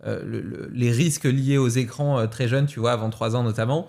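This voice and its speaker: A man's voice: mid-range voice, fairly even intonation, brisk, creaky, darkish tone. A man hosting a podcast, in casual conversation, reflective and hesitating often as he thinks aloud. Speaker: podcast host.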